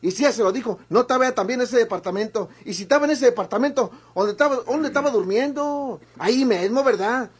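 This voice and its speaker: A man's voice: very high voice, quick pace, few pauses, breathy edge. A Mexican actor playing an evasive, pleading henchman who rambles and repeats himself in old radio drama style. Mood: insistent. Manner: rambling, repetitive, protesting. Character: evasive, pleading male henchman, talking over everyone.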